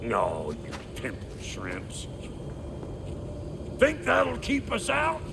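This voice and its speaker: An actor playing a cantankerous, foul-mouthed dwarf blacksmith in a video game, gruff and brash. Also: male voice, mid-range voice, wildly swooping pitch, drawn-out words, background noise, heavily acted. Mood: indignant, grumbling. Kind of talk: video game cutscene acting.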